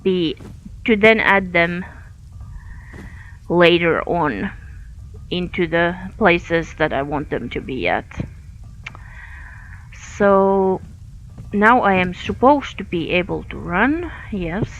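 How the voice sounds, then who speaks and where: A woman's voice: fairly low pitch, lively intonation, slow, long pauses, fairly bright tone, muffled recording, slight background noise. A woman from Finland with a Finnish accent, thinking aloud with many fillers while she streams a game. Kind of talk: live gameplay commentary.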